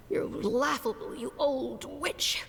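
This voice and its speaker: A woman's voice: high voice, vocal fry, exaggerated voice. An actress playing an ailing, stubborn old woman doctor in a game, speaking in a weary, grave way. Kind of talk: English dub game voice acting. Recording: long reverb, loud music behind.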